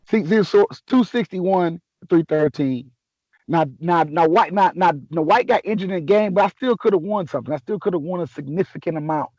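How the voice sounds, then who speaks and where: A man hosting a casual sports chat, a little raspy from a sore throat, talking in a laid-back, joking way with many restarts. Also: on the high side, darkish tone, no echo, muffled recording. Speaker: podcast host.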